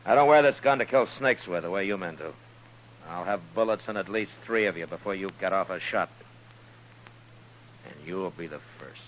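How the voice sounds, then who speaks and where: An actor playing a stern, unflinching American marshal in a radio western, firm, terse and commanding. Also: male voice, low-pitched, normal speed, slight background noise, natural voice. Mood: cool menace. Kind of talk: 1950s radio western acting. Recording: dull old-time radio recording.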